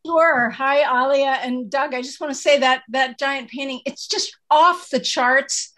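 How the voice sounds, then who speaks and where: A woman in her sixties with a high-pitched voice joins a video call as an audience member. She talks casually, with emphatic, effusive phrasing. She is a writer speaking from her study at home. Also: unhurried, a bit bright. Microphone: video call computer mic.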